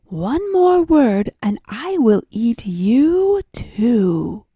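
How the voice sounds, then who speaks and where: A woman's voice: a little high, very animated, very slow, mellow, husky, no room sound, full character voice. A woman voicing a sly, greedy female cat in a storybook, with a smug, coaxing manner. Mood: menacing.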